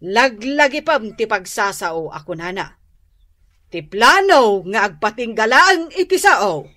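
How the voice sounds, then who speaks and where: A woman's voice: a little high, sing-song, measured pace, bright, forceful tone, full character voice. An actress playing an indignant, complaining older neighbour woman with an Ilocano accent, stern and griping in a radio comedy drama. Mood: indignant, threatening. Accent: Ilocano Filipino.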